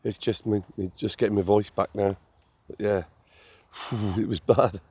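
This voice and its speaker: An English man vlogging outdoors at a fishing lake, chatty and friendly, his voice still slightly hoarse as it recovers. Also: on the low side, steady tone, a little slow, darkish tone, dry recording. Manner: chatty, friendly, laughs easily. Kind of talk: casual outdoor chat.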